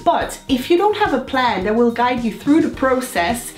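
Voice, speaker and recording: A woman in her thirties, a bit hoarse from a cold, giving an upbeat, encouraging motivational talk to her viewers. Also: high voice, sing-song, moderate pace, echoey room, faint music behind. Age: 30s. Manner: upbeat, encouraging, chatty asides. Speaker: lifestyle vlogger. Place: home room.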